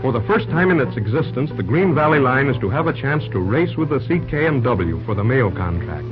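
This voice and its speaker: A man's voice: medium pitch, expressive, a little fast. A male announcer giving dramatic scripted narration for an old-time radio serial. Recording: dull old radio broadcast recording. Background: music.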